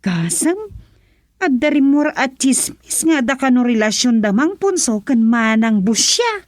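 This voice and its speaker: A woman's voice: fairly high pitch, sing-song, no echo, studio quality. A woman playing a gleeful village gossip in a comedic radio drama, teasing and giggly as she draws out her tales. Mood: gossipy, amused. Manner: giggly, teasing, drawn-out storytelling.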